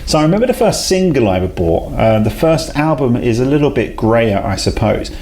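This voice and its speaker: A man's voice: medium pitch, sing-song, brisk, darkish tone. A man, a talkative, self-deprecating guest who laughs often in a casual interview. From South London, England. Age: 40s. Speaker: guest, head of a talent company.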